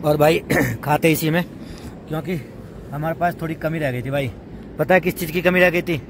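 A man's voice: on the high side, fairly even intonation. A man hosting a casual camping vlog, chatting informally to his viewers as friends. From India. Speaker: vlog host. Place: outdoors at a riverside campsite. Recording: noisy outdoor recording, rushing river. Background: loud ambient river noise.

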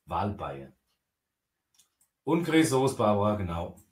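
Deep-voiced teasing man reading chat. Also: big pitch swings, takes it slowly. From Lower Franconia. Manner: teasing, reads viewer comments aloud. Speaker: co-host reading live chat. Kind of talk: joking live-stream banter. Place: home craft room, indoors. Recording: roomy echo, faint noise.

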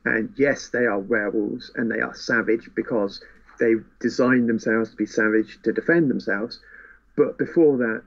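A man's voice: medium pitch, steady tone, moderate pace, creaky, a bit bright. Dull-sounding recording of a chatty man. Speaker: podcast co-host. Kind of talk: casual book discussion.

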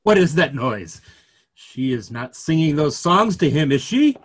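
A middle-aged man playing an earnest, gently ironic clergyman in a play reading, wordy and rhetorical. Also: on the high side, big pitch swings, measured pace, slightly rough, exaggerated voice. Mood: puzzled, probing. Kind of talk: remote script reading of a stage play. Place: small room at home, video call.

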